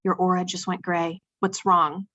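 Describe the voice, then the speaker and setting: A woman's voice: fairly low pitch, flat delivery, unhurried, bright, forceful tone, dry recording. A woman voicing an earnest, inquisitive young girl. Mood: concerned.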